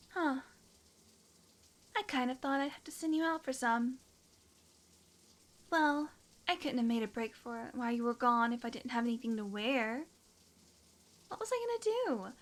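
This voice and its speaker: Woman acting as a high-voiced, sardonic vampire woman. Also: lightly acted. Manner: dry, teasing sarcasm. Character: sardonic, predatory female vampire, centuries old, grudgingly fond. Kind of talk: scripted audio roleplay, addressed to the listener.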